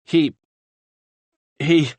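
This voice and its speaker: A man voicing a guilt-ridden young man who confesses haltingly, on the edge of tears. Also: darkish tone, no echo. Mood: haunted. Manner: halting, breaking with remorse. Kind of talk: audiobook character reading.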